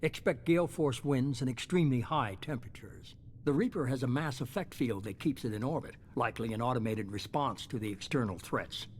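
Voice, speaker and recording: An actor playing a shadowy, coolly self-justifying middle-aged leader in a video game, measured, authoritative and unapologetic. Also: male voice, mid-range voice, a little hiss or hum, close to an everyday voice. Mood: measured, calculating. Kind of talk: dramatic video game dialogue.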